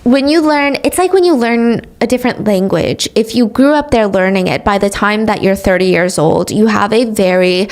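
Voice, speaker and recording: A woman's voice: mid-range voice, moderate pace, few pauses, a little mellow. A woman hosting a podcast, retelling a true crime case in casual chat with sarcastic asides. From grew up speaking English, also Korean. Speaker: podcast host.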